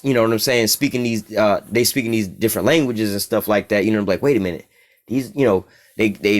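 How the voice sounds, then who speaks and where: Profane streetwise American bible teacher. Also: male voice, lowish voice. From United States. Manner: profane, streetwise, keeps asking "all right?". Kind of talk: informal bible study teaching.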